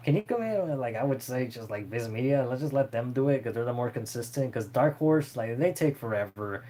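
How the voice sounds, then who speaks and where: A man's voice: mid-range voice, expressive, a little fast, mellow. Rambling man chatting casually. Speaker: streamer answering viewer questions about anime. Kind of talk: casual unscripted chat.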